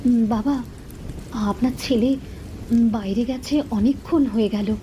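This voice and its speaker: An actress playing a troubled young village daughter-in-law in a horror cartoon, hesitant and pleading. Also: female voice, on the high side, moderate pace, dark tone, a little hiss or hum, close to an everyday voice. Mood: worried, hesitant. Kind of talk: Bangla horror cartoon dialogue.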